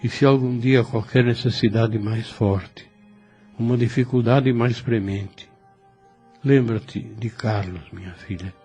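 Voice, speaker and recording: An actor playing a gentle, devout, dying old priest who speaks slowly and tenderly in an audio novel. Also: male voice, mid-range voice, fairly even intonation, slightly rough, soft background music. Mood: tender, solemn. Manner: slow, halting, tender speech. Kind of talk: religious audio novel acting.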